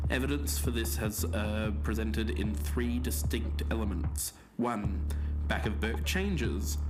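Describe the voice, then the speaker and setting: A man playing a podcast investigator and believer, earnest and slightly hesitant as he discusses and reads out notes. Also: lowish voice. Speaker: podcast co-host. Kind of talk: podcast discussion and journal reading.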